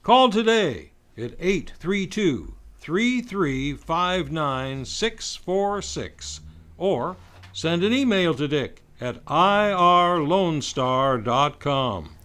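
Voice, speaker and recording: A man's voice: on the high side, animated, very slow, fairly bright tone. A man reading a scripted radio advertisement as an announcer.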